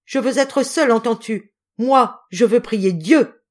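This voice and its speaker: A woman's voice: lowish voice, lively intonation, moderate pace, mellow. A woman voicing an anguished young wife and mother, desperate and theatrical, in a dramatic story reading. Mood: desperate outrage. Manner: impassioned, pleading, theatrical.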